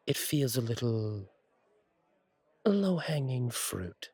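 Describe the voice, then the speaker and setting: A man playing a friendly, courteous angel bartender in an intimate roleplay audio, speaking gently with thoughtful pauses. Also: medium pitch, husky, no echo. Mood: teasing, conversational. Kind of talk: intimate roleplay audio acting, one side of a conversation.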